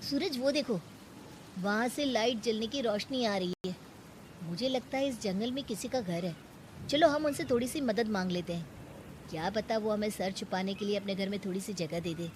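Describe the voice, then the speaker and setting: A woman's voice: medium pitch, moderate pace, darkish tone, a little hiss or hum, natural voice, low-quality recording. A woman voicing a kind, naive, hardworking male woodcutter in a Hindi moral-story cartoon, earnest and friendly. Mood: curious, wary.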